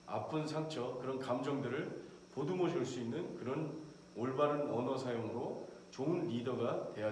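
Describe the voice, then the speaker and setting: A middle-aged male professor gives a lecture in a steady, explanatory way and raises his voice for quick quoted orders. His voice rings around a very echoey room. A faint hiss runs under it. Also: mid-range voice. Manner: explanatory, acts out short soldier quotes with a raised voice. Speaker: professor lecturing on military leadership. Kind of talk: recorded online lecture.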